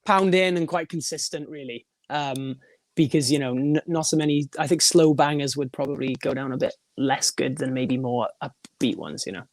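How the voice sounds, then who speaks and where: Young guy, high voice, rambly musician chatting, dry close sound. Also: normal speed, no echo. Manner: rambling, many restarts and fillers, laughs often. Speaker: guest, band frontman and vocalist. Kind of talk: casual podcast interview.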